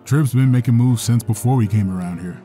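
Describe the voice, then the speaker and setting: An actor playing a flippant, easygoing bartender in an audio drama. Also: male voice, medium pitch, brisk, mellow, soft background music, lightly acted.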